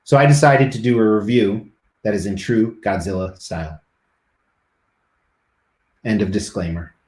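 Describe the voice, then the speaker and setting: A man's voice: lowish voice, unhurried, a little mellow, roomy sound. A middle-aged man live streaming, chatting casually and rambling to his viewers.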